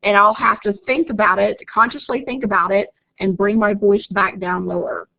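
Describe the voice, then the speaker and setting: Brief: woman instructor, Oklahoma accent, gravelly voice, chatty lecture over a phone-like line. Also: medium pitch, fairly even intonation, normal speed, no room sound. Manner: chatty, reads out student comments, laughs easily. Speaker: college instructor. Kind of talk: live online lecture.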